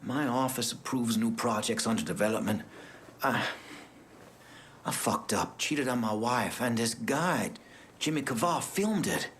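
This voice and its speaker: Anxious guilty clerk in a game, hesitant and pleading, very lively pitch, bit of background noise. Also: male voice, unhurried. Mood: ashamed, anxious. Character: nervous, guilty male city clerk being blackmailed. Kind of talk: video game dialogue.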